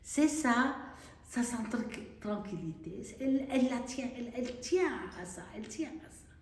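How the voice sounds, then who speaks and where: A woman's voice: fairly high pitch, expressive, normal speed. A woman from Syria, a Carmelite nun, speaking earnestly and with feeling, sometimes searching for words. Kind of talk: interview testimony. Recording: echoey room, distant mic.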